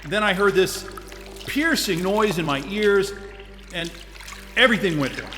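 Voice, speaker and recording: A blunt, swearing man on edge, with a high, bright and forceful voice. His lines ring with a long reverb, and music plays softly behind. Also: lively intonation, a little slow, full character voice. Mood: strained. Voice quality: blunt and profane. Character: stressed isolated man, grumpy, blunt, swearing. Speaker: lead character. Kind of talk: audio drama acting.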